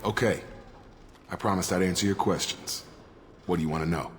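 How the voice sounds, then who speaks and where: A man's voice: low-pitched, a little fast, background noise, lightly acted. An actor with an American accent playing a loyal, plain-spoken male soldier in a video game, matter-of-fact and military in manner. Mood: steady, frank. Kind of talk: video game dialogue acting.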